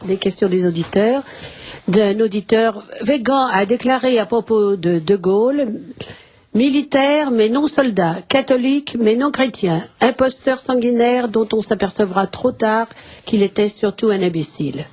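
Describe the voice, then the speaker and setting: A woman's voice: a little high, measured pace, a little mellow. A woman reading announcements and listeners' messages aloud on a radio talk show.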